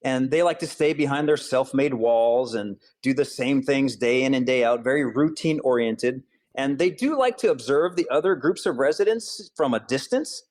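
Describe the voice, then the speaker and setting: Guy from hawaii, author and counselor, calm earnest interview answers. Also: medium pitch. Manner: earnest, steady explaining.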